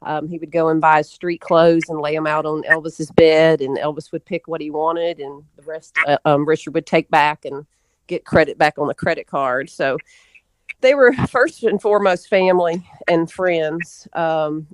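A woman's voice: low-pitched, fairly even intonation, moderate pace, no echo, low-quality recording. A middle-aged woman from Memphis speaks in a dry recording with little room sound. She reminisces casually as an interview guest, telling stories in a folksy, anecdotal way. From Memphis, Tennessee, USA.

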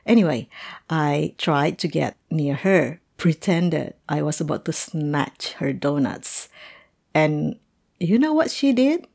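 A woman playing a playful, chatty teacher telling a colleague a story in an animated, amused, conversational audio roleplay. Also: low voice, slow, creaky, exaggerated voice. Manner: animated, amused, punctuated with chuckles.

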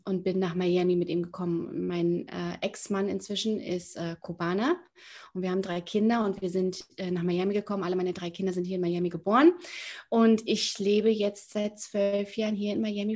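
A woman in her early forties from near Frankfurt, telling her story as a podcast guest with many fillers and pauses to find her words. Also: medium pitch, a little mellow. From Germany, near Frankfurt. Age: 40s. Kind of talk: casual interview storytelling.

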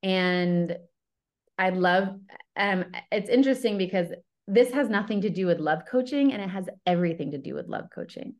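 A woman's voice: medium pitch, expressive, moderate pace. A woman who works as a love coach, speaking as an interview guest in an earnest, enthusiastic, rambling way. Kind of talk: interview guest, casual conversation.

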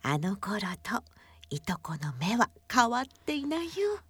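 A woman voicing a gentle old writing desk that watches fondly over its owner, murmuring tenderly in a radio drama. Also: on the high side, wildly swooping pitch, a little slow, husky, no room sound, heavily acted. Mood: tender, nostalgic.

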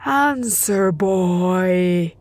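A woman voicing a menacing male lord of the dead who speaks slowly and commandingly in a storybook. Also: medium pitch, darkish tone, dry recording. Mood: demanding. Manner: slow, commanding.

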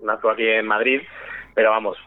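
A man's voice: on the low side, flat delivery, brisk, dry recording. A young man from Spain, an actor chatting casually as a radio guest over the phone, friendly and full of fillers. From Spain, raised in Valladolid. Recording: tinny phone line.

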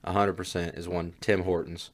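A man's voice: sits low, flat delivery, moderate pace. A man in his mid twenties co-hosting a podcast, joking crudely and dryly in casual chat. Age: 20s. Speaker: podcast co-host.

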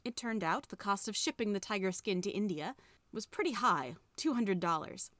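A young adult woman reading a children's story aloud, narrating with lively dialogue. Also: medium pitch, moderate pace, no echo. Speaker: reader.

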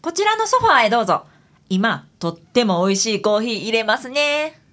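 Woman doing a chatty kansai assistant girl, high voice, really animated, breezy radio drama acting. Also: a little fast. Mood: welcoming. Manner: breezy, outspoken, excitable. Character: outspoken, chatty young female detective's assistant.

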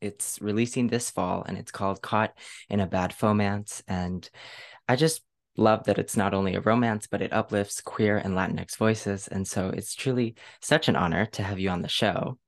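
A man's voice: on the low side, moderate pace, creaky. A man hosting a podcast in a casual, chatty interview style, with an enthusiastic, gushing manner. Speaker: podcast host.

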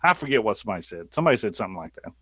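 Halting Southern American man. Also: fairly low pitch, very animated, a bit bright, slightly rough, no echo. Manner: halting, self-interrupting, drawn out. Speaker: podcast guest. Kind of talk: casual chat.

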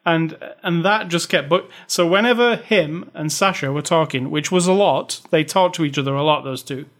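A young British man talking films with a co-host, wry and opinionated as he picks at bad accents. His voice sits a little high for a man, and his complaints come out animated and emphatic. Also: normal speed. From Britain. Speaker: podcast co-host. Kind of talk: casual film review chat.

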